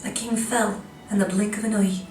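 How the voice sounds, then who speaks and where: A woman's voice: mid-range voice, flat delivery, moderate pace, a little mellow, lightly acted. Solemn, echoey woman as storyteller. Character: solemn female storyteller of a royal legend. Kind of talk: scripted storytelling in audio drama. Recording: echoey distant playback with music.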